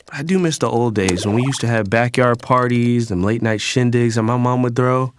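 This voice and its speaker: A man's voice: medium pitch, brisk, a little hiss or hum, close to an everyday voice. A young man playing a disgruntled son in an audio drama, narrating in the first person in a casual, slangy, conversational way. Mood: weary, reflective. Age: young adult. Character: disgruntled young son from a troubled wealthy family. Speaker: actor and narrator.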